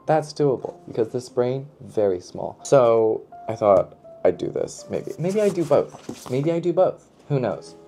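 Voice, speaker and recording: A man's voice: mid-range voice, big pitch swings, unhurried, warm tone, soft background music. A man talking casually to camera as a book vlogger, playful and emphatic, often repeating a phrase for effect.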